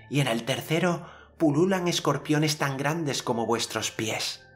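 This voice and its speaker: A man's voice: medium pitch, fairly bright tone, pitched above the performer's own voice. An adult man voicing a young boy hero in an audiobook, speaking in a solemn, formal old-fashioned way. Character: earnest boy hero, commanding storyteller.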